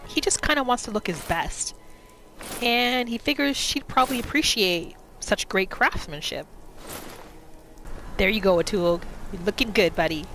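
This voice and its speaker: A woman's voice: mid-range voice, normal speed, fairly bright tone, low-quality recording. A woman narrating her video game roleplay in the third person, telling the story of her character's thoughts as she plays. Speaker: gaming roleplay host. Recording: music behind the voice. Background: game music.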